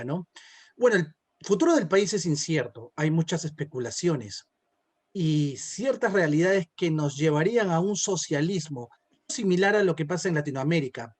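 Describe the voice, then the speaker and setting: Male political pundit, Peruvian Spanish accent, high register, conversational explainer in an interview setting. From Peru. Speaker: political consultant, interview guest.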